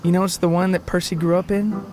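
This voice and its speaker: A man's voice: sits high, little pitch movement, fast talker, over music, voice pushed up, natural voice. Man voicing a wistful, earnest British wife.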